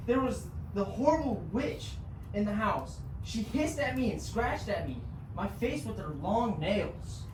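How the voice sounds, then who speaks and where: A teenage girl plays a gullible, cowardly robber henchman in a student stage reading. The voice is animated and stammers in folksy phrases, heard from far off in a noisy, echoey room. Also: mid-range voice, measured pace, lightly acted. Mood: terrified, refusing. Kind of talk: student reader's theater performance.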